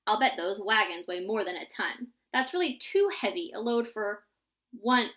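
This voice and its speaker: A woman voicing an imaginative, excitable young boy who spins tall tales in a rhyming storybook. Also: mid-range voice, normal speed, lightly acted. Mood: musing, excited.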